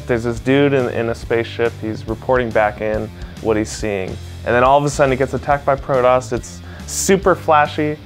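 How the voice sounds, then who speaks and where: Mid-pitched man chatting over faint music. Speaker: video game commentator. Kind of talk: casual conversational commentary.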